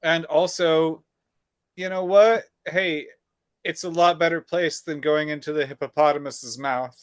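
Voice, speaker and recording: A man co-hosting a podcast, chatting casually in a relaxed way, with fillers and repeated phrases. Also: on the high side, measured pace, clean tone, no echo. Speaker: podcast co-host. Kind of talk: casual chat.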